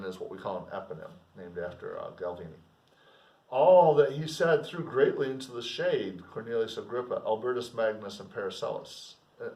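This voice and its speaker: A man with a medium-pitched voice reads literature aloud as a teacher would. His delivery is expressive and explanatory, moving between formal text and informal asides. He sounds set back from the microphone in an echoey room. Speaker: teacher reading aloud with commentary. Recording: roomy echo, distant microphone.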